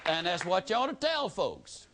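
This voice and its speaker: Man, high voice, motivational speaker, folksy storytelling, rapid-fire repetition. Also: huge pitch swings, a loud crowd, heavy background noise. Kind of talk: motivational speech to a live audience.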